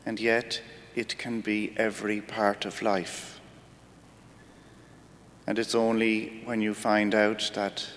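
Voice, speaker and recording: A man with an Irish accent, a priest giving a weekday homily in a slow, reflective, measured way. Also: fairly bright tone. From Ireland. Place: church. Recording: church hall reverb with faint rumble.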